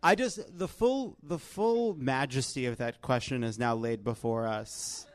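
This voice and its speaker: A man's voice: medium pitch, measured pace. A man hosting a comic panel chat on stage, with a sardonic, joking manner. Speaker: podcast host. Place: live stage show.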